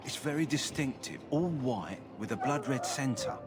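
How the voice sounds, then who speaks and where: An actor playing an earnest, plain-spoken army kennel master who cares for war hounds in a fantasy video game. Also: male voice, medium pitch, animated, normal speed, close to an everyday voice. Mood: concerned, hopeful. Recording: game audio under loud background noise.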